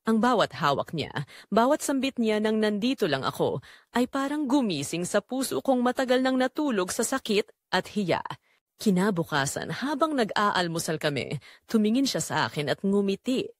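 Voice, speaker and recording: A Filipino woman tells a dramatic first-person story with an emotive, confessional delivery. Her pitch moves in lively swings, and the recording is dry and close, with no room echo. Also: normal speed. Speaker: narrator of an audio drama.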